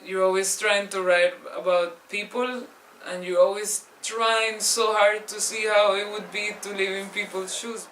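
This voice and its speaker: A woman's voice: mid-range voice, roomy sound, distant mic, background noise. Eager woman journalist. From Colombia.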